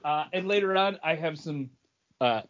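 A man co-hosting a podcast, chatting casually with friends in a halting way. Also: a little high, a bit bright. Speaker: podcast co-host. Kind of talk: casual chat among friends. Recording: dull lo-fi voice recording.

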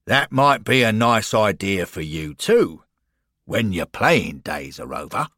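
Man playing a keen, animated tour guide. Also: fairly low pitch, no room sound, clean studio sound, heavily acted. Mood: proud, encouraging. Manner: brisk, enthusiastic, informative. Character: keen, cheery male club-history guide in the afterlife.

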